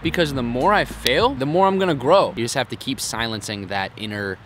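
A man's voice: mid-range voice, fairly quick, a bit bright, slight background noise. Blunt, foul-mouthed young man outdoors. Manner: crude, blunt, self-mocking, heavy swearing. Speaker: vlogger. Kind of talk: casual vlog talk to camera. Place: outdoors in a city park.

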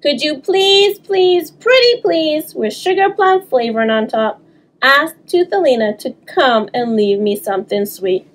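A woman voicing an earnest, dramatic little girl from a storybook, expressive and pleading, with drawn-out words. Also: high-pitched, voice pushed up.

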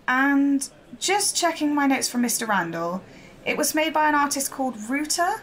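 An actress playing a keen, polite and persistent young newspaper reporter in an audio drama. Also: female voice, high-pitched, animated, moderate pace, fairly bright tone. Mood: businesslike.

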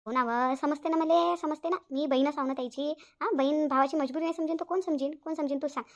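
Woman playing a very fast-talking, high-voiced gossipy elder sister. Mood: earnest. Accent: Varhadi Marathi from Vidarbha. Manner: gossipy, repetitive, complaining. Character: chatty, aggrieved married elder sister on the phone. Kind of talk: Marathi cartoon family-drama dialogue.

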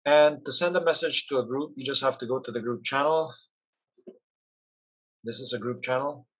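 A man with a slightly husky voice walks through a software demo. He explains things step by step in an instructional way at a medium pitch. The recording is dull and lo-fi. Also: moderate pace. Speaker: product demonstrator. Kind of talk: software tutorial narration.

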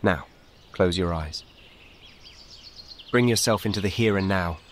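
A man guides a meditation as scripted narration, speaking calmly in measured, soothing, short and gentle instructions. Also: deep voice, slight background noise.

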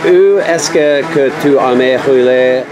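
A man with an English speaker's accent asks interview questions slowly and haltingly, like the language learner he is. His voice is medium in pitch, recorded outdoors amid loud crowd noise. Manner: halting, careful delivery in learner's French. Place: outdoors at a race festival, off camera.

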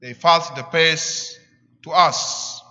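A middle-aged man with a South Sudanese accent preaches a sermon deliberately, in short emphatic phrases, at a church lectern. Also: on the high side, fairly even intonation, pushed and bright. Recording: echoing church, slightly dull sound.

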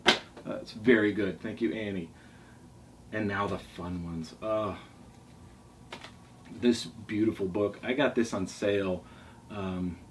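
A middle-aged man with a fairly deep voice hosts a casual vlog from a table in a small room. He rambles along with enthusiasm. The mic sits well away from him and picks up some background noise. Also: a little mellow. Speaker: sailing vlog host. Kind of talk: casual talk reviewing favourite books. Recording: distant mic, noisy room ambience.

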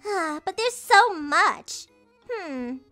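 A woman voicing a spiteful, bossy young sister-in-law in an animated story. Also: very high voice, extremely slow, soft background music, full character voice. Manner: bossy, sharp, dramatic.

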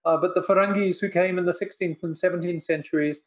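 An older man speaking from a book-lined living room over a webcam, his voice pitched a little high for a man. He is a Polish-born author explaining his work in a measured, reflective way, pausing often with "uh" and "um". He sounds like a patient professor thinking aloud. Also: steady tone. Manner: measured, reflective, many "uh" and "um" pauses. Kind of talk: thoughtful interview answers. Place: living room in front of bookshelves. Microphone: video call webcam.

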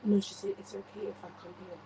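A woman off to one side, briskly passing on plans in a matter-of-fact way. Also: medium pitch, flat delivery, gravelly. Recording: distant voice over loud background noise.

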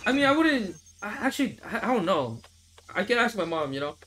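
A man's voice: sits high, very animated, brisk, sharp tone. A young man streaming a mobile shooter, chatting loosely with viewers, reading chat aloud and swearing casually into a headset mic. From Philippines. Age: young adult. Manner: laid-back, rambling, reads chat aloud, swears casually. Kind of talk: casual livestream chat while playing. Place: small room indoors. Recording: game audio noise behind voice. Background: game sounds and clicks.

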